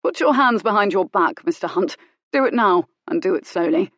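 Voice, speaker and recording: A woman voicing a gentleman hero who threatens with glacial politeness. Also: mid-range voice, lively intonation, normal speed, no room sound, studio quality. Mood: icy menace. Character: cold, menacing young gentleman hero, icily polite.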